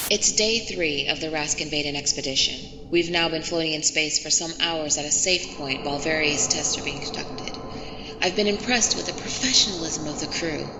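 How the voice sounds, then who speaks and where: An earnest female field reporter aboard a starship, acted by a woman, low in pitch. She speaks her sci-fi log reports in a reverberant space with clear ambient noise. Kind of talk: sci-fi audio drama, recorded log reports. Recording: echoey hall with noisy ship ambience.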